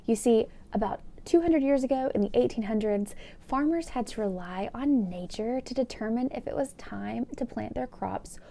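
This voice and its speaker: An American woman hosting a children's read-aloud, explaining and reading in an animated way, speaking directly to young listeners. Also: on the high side, creaky.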